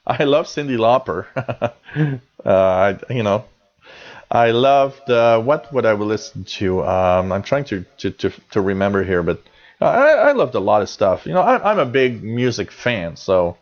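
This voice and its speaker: A man's voice: on the low side, sing-song, a little slow, muffled recording. A Canadian man in his forties hosting a live radio show, chatting casually and reading out chat messages with lots of fillers and restarts.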